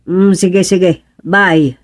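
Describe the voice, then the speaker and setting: A woman's voice: on the low side, fairly even intonation, extremely slow. A woman with an Ilocano accent playing an obliging village neighbour in a radio comedy drama. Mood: helpful, matter-of-fact. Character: helpful, cooperative village woman in a community clean-up.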